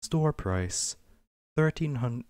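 A man reading reference text aloud for ASMR in a measured, list-like way, with pauses between items. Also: mid-range voice, animated, a little mellow, smooth, no echo, clean studio sound. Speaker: ASMR reader. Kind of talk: ASMR reading of a game wiki page.

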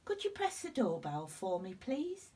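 A woman's voice: mid-range voice, huge pitch swings, unhurried, dark tone, breathy edge, full character voice. A woman voicing a tiny, polite, eager little man in a children's storybook, with piping exclamations. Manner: piping, small-voiced exclaiming. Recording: slightly distant room microphone.

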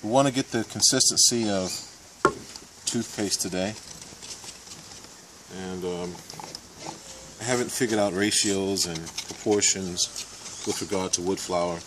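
A man in his forties giving a casual step-by-step how-to demonstration in a backyard, with relaxed fillers. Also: low voice, a little slow, long pauses, noisy background. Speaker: hobby boatbuilder. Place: backyard outdoors. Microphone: camera mic, handheld camera nearby.

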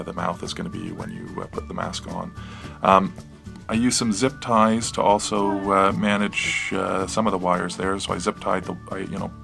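American guy, low creaky voice, casually explaining something he built, kinda hesitant. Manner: hesitant, off-the-cuff, low-key. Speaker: DIY maker showing his project. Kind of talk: casual unscripted walkthrough.

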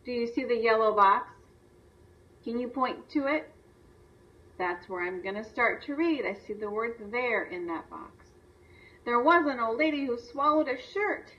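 A woman's voice: fairly high pitch, lively intonation, measured pace. A woman teacher giving a read-aloud lesson for young children, instructional, stressing words and asking simple questions. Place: video lesson played on a screen and re-recorded. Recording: distant, dull replay through a screen's speaker.

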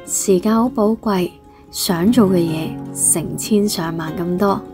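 Calm reflective Hong Kong woman. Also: medium pitch. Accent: Hong Kong Cantonese. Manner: calm, measured, thoughtful. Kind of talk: reflective scripted voice-over. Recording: guitar music under the voice.

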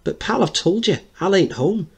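A man's voice: high-pitched, sing-song, normal speed, gravelly, husky, pitched above the performer's own voice, full character voice. A man voicing a defiant, protective young working-class girl in a dramatic play reading. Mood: pleading.

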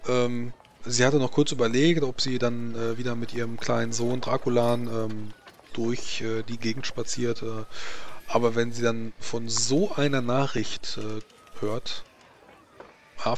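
A man improvising narration as game master of a tabletop roleplaying game, haltingly searching for words. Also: mid-range voice, a little hiss or hum.

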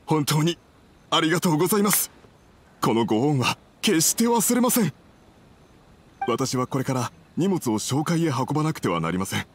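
A man's voice: on the high side, big pitch swings, moderate pace, long pauses, a little hiss or hum. A man playing a polite, humble young merchant in an anime game, speaking formally and earnestly. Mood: earnest gratitude.

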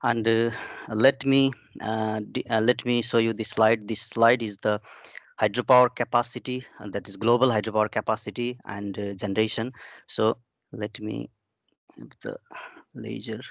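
A man's voice: lowish voice, level tone, normal speed, gravelly, no echo. Hesitant Nepali-raised man presenting research. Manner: hesitant, many fillers and restarts. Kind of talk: webinar presentation. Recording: muffled dry video call audio.

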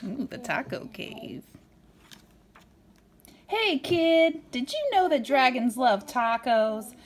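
Woman, high, very lively storybook read-aloud. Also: slow pace, slight background noise. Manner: playful, expressive, with dramatic emphasis. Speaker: storybook reader. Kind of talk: animated read-aloud to a young child.

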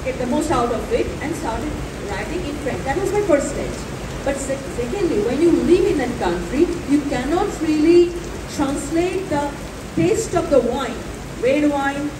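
A woman from Bengal, a native Bengali speaker, talks spontaneously as a panelist, halting and repeating phrases. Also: sits high, expressive. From Bengal, India. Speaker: panelist, writer. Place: festival stage, before an audience. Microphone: handheld microphone through PA, filmed from audience. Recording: roomy, distant stage PA with loud ambient noise.

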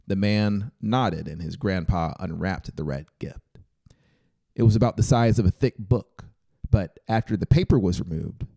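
Man, thirties, audiobook narration, low pitch, dark mellow tone, close studio mic. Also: creaky. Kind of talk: scripted story narration. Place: small brick-walled room indoors. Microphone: close studio microphone on boom arm.

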